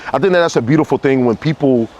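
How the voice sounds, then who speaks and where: Easygoing father, mid-pitched voice. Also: fast talker, a little mellow, lo-fi sound, slight background noise. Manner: easygoing, repetitive fillers, affirming. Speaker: parent, guest. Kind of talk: casual conversation.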